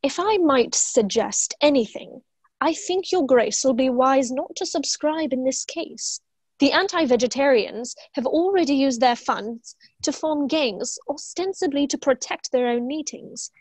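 High-voiced, prim secretary, played by a woman. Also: lively intonation, no echo. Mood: patiently cautioning. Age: young adult. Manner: formal, measured. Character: prim, dutiful, patient secretary to a duke. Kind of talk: staged play reading over video call. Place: room at home, video call. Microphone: wired earphone microphone.

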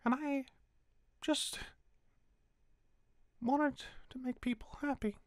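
Woman acting a high-voiced bitter little flower. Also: fairly even intonation, slow pace, darkish tone, dry recording, clean studio sound. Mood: wistful, sad. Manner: wounded, hesitant, weary. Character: bitter, despairing, forgotten little flower creature. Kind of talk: dramatic roleplay acting.

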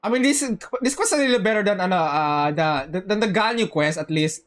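Animated slangy game streamer. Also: medium pitch, fairly quick. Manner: slangy, teasing, swearing. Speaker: game livestreamer. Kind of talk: casual live commentary to chat. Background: game music.

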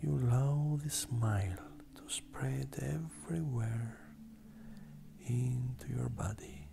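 Super slow soft breathy meditation voice, bit gravelly, almost whispering, gentle, noisy ambient background. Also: mid-range voice. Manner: gentle, unhurried, long pauses. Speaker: meditation guide. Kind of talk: guided meditation. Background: ambient meditative music.

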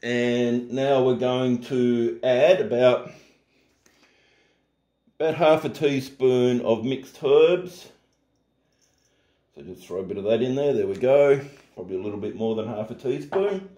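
A man's voice: medium pitch, unhurried, pauses often, reverberant. A middle-aged man hosting a casual home cooking tutorial in his kitchen, chatty and joking at himself. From Australia. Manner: relaxed, chatty, jokes at himself.

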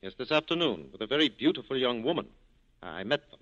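Slick cynical french police captain, swoopy ironic delivery, dull old radio recording. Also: male voice, mid-range voice, very animated, fast, a bit bright. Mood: sly, amused. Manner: urbane, drily ironic. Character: suave, cynical French police prefect, male, wry. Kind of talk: 1940s radio acting.

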